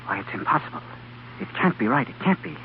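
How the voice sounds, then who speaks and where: An actor playing an obsessed, driven young scientist in an old-time radio drama, with a distracted, halting, intense manner. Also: male voice, medium pitch, very animated, close to an everyday voice. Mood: excited. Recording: dull, noisy vintage radio recording.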